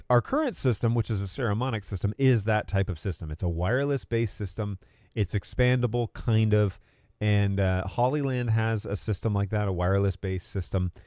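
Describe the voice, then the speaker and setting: A man with a lowish, soft-edged mellow voice presents a tech explainer in a casual, off-the-cuff way. He thinks aloud, rewording his points and checking in with the listener. The recording is close and dry. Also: no room sound. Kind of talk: unscripted explainer, casual and conversational.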